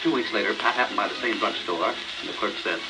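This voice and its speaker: A man's voice: fairly low pitch, quick pace. A man narrating a scripted comic story on a novelty record in a theatrical, folksy way. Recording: noisy crackling 78 rpm record.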